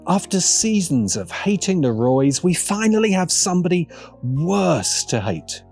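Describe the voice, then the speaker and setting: Male essayist narrator, mid-pitched and very animated in delivery, music underneath. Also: measured pace, vocal fry. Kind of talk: scripted video essay narration.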